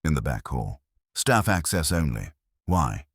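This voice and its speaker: Prim corporate woman receptionist, played by a man: reserved, clipped, husky, varied intonation. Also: a bass voice, big pitch swings, measured pace, dry recording, clean studio sound, exaggerated voice. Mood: guarded. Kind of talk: audiobook character voice.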